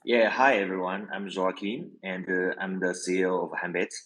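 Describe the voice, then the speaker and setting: A man, not an English speaker, introducing himself as a panel guest in modest, halting English. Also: low voice, steady tone, fairly bright tone, low-quality recording. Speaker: panel guest, company executive.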